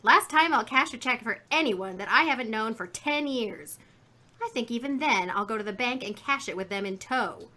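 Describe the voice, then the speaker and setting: A woman's voice: a little high, animated, moderate pace, sharp tone. An actress playing a chatty, indignant, folksy woman shopkeeper in a 1950s radio drama. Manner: folksy, garrulous, emphatic.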